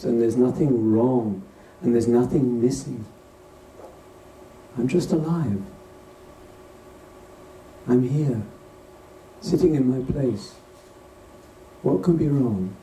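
A man leading a guided meditation as a teacher, speaking gently and unhurriedly in short phrases with pauses between them. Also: medium pitch. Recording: distant roomy recording with faint noise.

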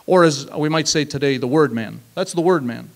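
A man in his thirties telling a personal story at an open mic on a small stage, reflective and measured, leaning on emphatic repeated phrases, speaking into a handheld mic. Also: on the high side, vocal fry. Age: 30s. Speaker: spoken word performer. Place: small stage, seated on a stool.

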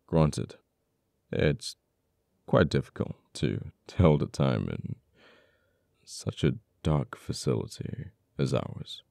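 An actor playing an uptight government official in an ASMR roleplay, cold and teasing toward a captured old friend, speaking deliberately with dramatic pauses. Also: male voice, very deep voice, lively intonation, creaky, mellow, no room sound, studio quality, exaggerated voice.

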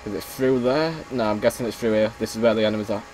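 Hoarse man muttering through a game. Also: fairly low pitch, steady tone, fast talker, darkish tone, a little hiss or hum, low-quality recording. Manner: chatty, mutters reactions while playing. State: slightly hoarse, losing his voice. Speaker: gameplay commentator. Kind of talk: casual let's-play commentary.

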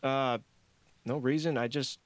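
A man voicing an awkward, tired young male janitor in an audiobook, hesitant and halting. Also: mid-range voice, steady tone, dry recording, pitched above the performer's own voice, lightly acted. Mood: evasive.

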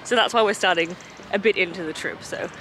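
A woman's voice: fairly low pitch, brisk, background noise. Young woman travel vlogger, casual and chatty, self-mocking, camera at arm's length outdoors. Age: young adult. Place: outdoors by the coast and in town. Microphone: handheld camera at arm's length.

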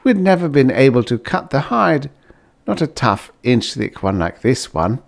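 A man's voice: medium pitch, sing-song, unhurried, creaky, pitched above the performer's own voice. A man playing an earnest, upbeat, proud pioneer husband in an audiobook story, eager and a little boastful.

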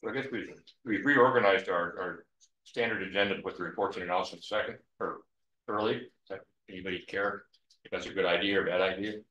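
A man chairing a city board meeting, easygoing and brisk, often repeating his words. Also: deep voice, steady tone, sharp tone. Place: council meeting room. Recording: echoey room, muffled sound.

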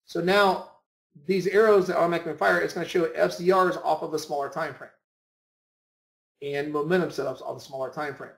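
A man's voice: on the high side, steady tone, fairly quick, gravelly. A man giving an informal screen-share lesson as an instructor, often repeating and rephrasing his points. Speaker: trading room instructor. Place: indoor room, voice over screen share. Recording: roomy, echoing voice recording.

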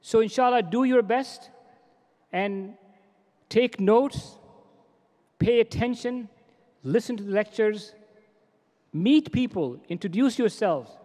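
A man's voice: high-pitched, lively intonation, slow pace, long pauses, darkish tone. Middle-aged man exhorting from a hall podium. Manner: earnest, exhorting, with repeated phrases. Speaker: organization president giving a welcome address. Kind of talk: formal convention welcome speech. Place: large convention hall stage. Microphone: podium microphones. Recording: long reverb of a large hall.

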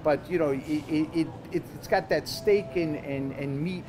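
A man in his fifties, a chef being interviewed, explaining things conversationally and haltingly, often repeating words. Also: on the high side, noisy background. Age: 50s. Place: store counter indoors.

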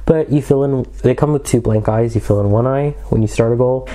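A man's voice: mid-range voice, fairly quick, warm tone. A young man with a cold and a congested voice, casually explaining history from his small home room with wry, sarcastic asides. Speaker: history video host.

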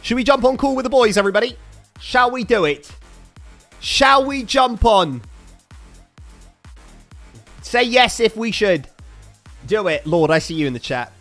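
A man streaming a game with friends, husky from being ill, playful and teasing his chat. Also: high voice, lively intonation, measured pace, pauses often, fairly bright tone, faint music behind. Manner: playful, self-mocking, talks to chat. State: sick, husky voice. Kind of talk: casual live stream chat.

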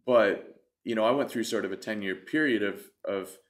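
A man in his thirties, a podcast guest, telling his own story in an earnest, reflective way with frequent restarts, on a desk mic in a bright studio room. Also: lowish voice, moderate pace. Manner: earnest, reflective, self-interrupting. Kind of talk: candid storytelling in conversation. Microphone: desk mic on stand.

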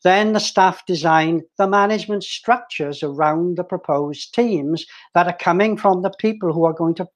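A man's voice: high-pitched, normal speed, few pauses. An older man giving a conversational presentation on a video call, picked up by his webcam in a small room at home. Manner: conversational, restarts and fillers while explaining. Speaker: meetup presenter, business consultant.

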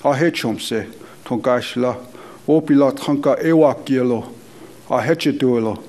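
A man whose first language is Dakota, leading a slow, measured, solemn prayer as an elder in a conference hall. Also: medium pitch, a little mellow. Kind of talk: spoken prayer.

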